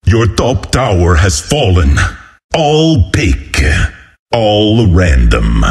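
Casting: burly walrus warrior announcing a video game, boisterous, slow and declamatory, big swings in pitch. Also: male voice, darkish tone.

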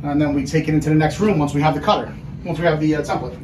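Male mill guide, high pitch, informal walking-tour delivery, noisy machinery hum behind. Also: very fast. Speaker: mill worker giving a tour. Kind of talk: casual explanatory walking tour. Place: large woodworking mill and lumber warehouse.

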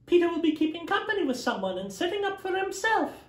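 A man's voice: very high voice, sing-song, fast talker, pitched above the performer's own voice. A man voicing a playful young girl who teases her brother. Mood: teasing. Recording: roomy echo.

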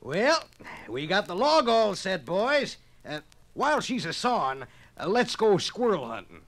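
Folksy pioneer sawmill hand, lively high pitch. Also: male voice, a little slow, heavily acted. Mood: easygoing. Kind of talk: 1950s radio drama acting.

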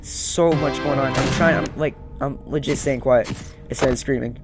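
A man's voice: medium pitch, fairly even intonation, moderate pace. A young man giving casual let's-play commentary, muttering and repeating his phrases. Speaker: gaming video host. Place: small room indoors. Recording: loud game audio over voice. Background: loud game music.

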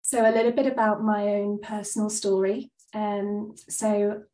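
A woman's voice: mid-range voice, flat delivery, measured pace, dark tone, reverberant, recorded from a distance, rough recording. A woman in her thirties, a GP, giving a webinar talk while a bit stuffed up and breathless, earnest with frequent ums. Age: 30s. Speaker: GP giving a presentation. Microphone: video call.

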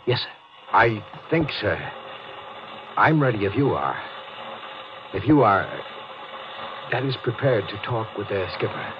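An actor playing an earnest, nervous spaceship officer in a 1950s radio drama, hesitant and deferential. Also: male voice, lowish voice, expressive, a little slow, long pauses. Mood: earnest, tentative. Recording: dull 1950s radio broadcast.

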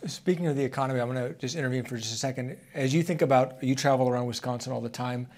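A man moderating a conference Q&A, stepping in with brisk questions. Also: medium pitch, darkish tone. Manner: brisk interjections. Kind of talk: moderating a panel. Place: conference stage.

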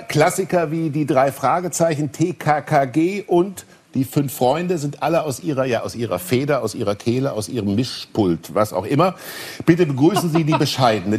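A middle-aged man hosting a talk show, presenting and interviewing effusively and ceremoniously, with hesitant pauses. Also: on the high side, a little mellow, husky. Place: large TV talk show studio with audience. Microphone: lapel mic. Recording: studio audience noise.

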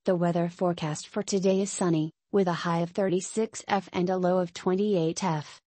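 A woman reading a scripted weather radio announcement with measured, synthetic delivery. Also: lowish voice, fairly even intonation, heavy background noise.

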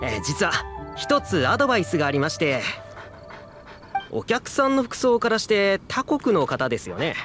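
A man's voice: sits high, very animated, moderate pace. A man voicing a courteous, helpful innkeeper in a Japanese fantasy game, polite and encouraging. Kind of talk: Japanese anime game voice acting. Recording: music behind the voice.

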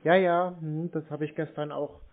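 A man's voice: fairly high pitch, level tone, normal speed. A man talking on the phone as a prank caller posing as a customer, hesitant and rambling with a feigned naivety. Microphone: telephone. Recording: muffled narrow phone line.

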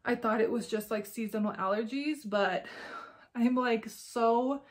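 A woman's voice: fairly high pitch, measured pace. A young woman with a congested, nasal voice, slightly out of breath, chatting casually as a vlog host. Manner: chatty, many "like" fillers. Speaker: crafting podcast host. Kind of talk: casual personal vlog chat.

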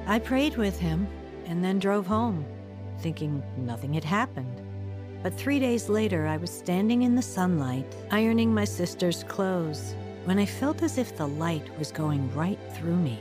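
A woman's voice: fairly low pitch, animated, a little slow. An actress playing an earnest woman who recounts her troubled youth in a reflective, confiding audio drama narration. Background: music.

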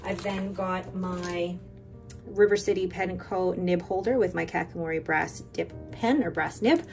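A woman's voice: lowish voice, unhurried, faint music behind. A woman hosting a stationery channel, chatting enthusiastically in a casual voice-over, with rambling asides. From Filipino heritage. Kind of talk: casual voiceover while swatching inks. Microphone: voice-over recorded separately.

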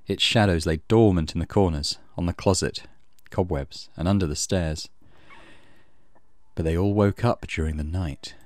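A man reading a horror visual novel aloud as dramatic, measured narration. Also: low voice, no echo. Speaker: gaming channel host reading visual novel. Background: music.

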